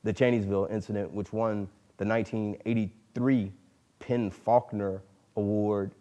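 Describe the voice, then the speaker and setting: A man giving a formal literary reading, speaking in deliberate, oratorical phrases with emphasis on each one. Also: lowish voice, level tone, dark tone. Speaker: dramatic reader. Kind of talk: formal literary introduction and narration.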